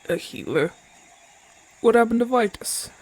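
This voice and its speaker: A man playing a proud, haughty Roman gladiator in an ASMR audio roleplay, imperious and formal, often dropping to a breathy murmur. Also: very high voice, sing-song, measured pace, full character voice, poor audio. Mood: haughty, guarded. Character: proud, haughty Roman gladiator champion, gruff but softening.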